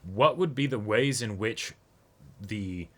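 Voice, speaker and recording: A man's voice: fairly low pitch, takes it slowly. A man co-hosting a reaction show, talking casually and analytically and often checking in with a "right?". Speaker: co-host of an anime reaction show. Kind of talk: casual discussion.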